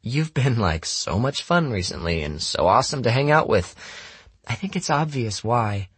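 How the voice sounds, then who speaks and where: A man's voice: mid-range voice, lively intonation, normal speed, fairly bright tone, breathy edge, no echo, pitched above the performer's own voice. A man voicing a wry, nonchalant rich teenage girl for an audiobook, with sardonic, slangy casual phrasing.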